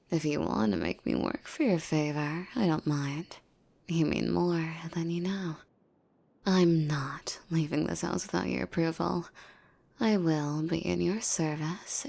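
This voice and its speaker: A woman playing a possessive, menacing female demon in an intimate roleplay, speaking formally and commandingly, coaxing and often breathy. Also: fairly low pitch, darkish tone, exaggerated voice. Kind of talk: roleplay ASMR acting, intimate monologue.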